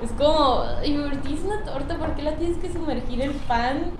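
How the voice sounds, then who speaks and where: High-voiced young Sinaloan woman, echoey room. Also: normal speed. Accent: Mexican Pacific coast, Sinaloa. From Mazatlán, Mexico. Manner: laughing, teasing, slangy. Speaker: stream co-host. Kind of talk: casual chat among friends. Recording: echoey room, noisy background.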